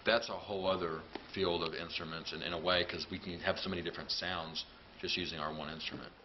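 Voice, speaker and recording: Low, easygoing man in his thirties walking through his instruments; dull, noisy camera sound. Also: steady tone, fast talker. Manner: playful, loose, chatty. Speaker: clarinetist. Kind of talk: casual explanation of his instruments. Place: large rehearsal room indoors.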